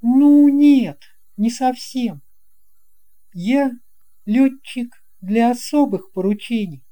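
A confident boy pilot from an audio fairy tale, acted out by a narrator. The voice is pitched high and stays soft-edged and unhurried. He sounds friendly and reassuring, like someone at ease with his job. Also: close to an everyday voice.

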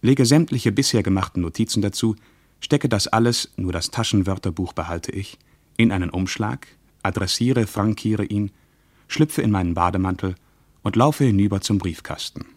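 Low, dry-sounding man acting in a radio play. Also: moderate pace, darkish tone, dry recording, close to an everyday voice. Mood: reflective, uneasy. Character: practical, reflective male traveller, slightly impatient, uneasy. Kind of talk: radio play acting, dialogue and diary monologue.

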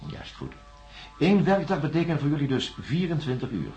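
An actor playing a calm, patient male psychiatrist in a science fiction radio drama, speaking formally and deliberately. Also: a little high. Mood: calm, probing. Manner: measured, formal, deliberate phrasing with pauses. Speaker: doctor. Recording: dull lo-fi radio recording, music.

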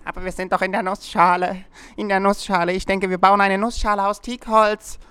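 A woman plays a matter-of-fact dreamer in an audio play. Her mid-pitched voice is extremely smooth and clear, with little variation, in a dry recording. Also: level tone, fairly quick, a bit bright, no echo, lightly acted. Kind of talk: German audio play acting.

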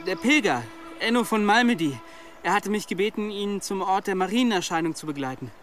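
A man's voice: high-pitched, animated, fairly quick, fairly bright tone, faint music behind. A man playing an anxious, guilt-ridden young monk in a German radio play, hesitant and pleading. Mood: evasive.

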